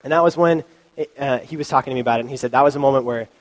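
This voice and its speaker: A man's voice: medium pitch, racing. A young man with a cold preaching a casual youth sermon into a microphone, loose and chatty with many ums and likes. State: has a cold, sniffly. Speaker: youth pastor. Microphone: handheld or stand mic.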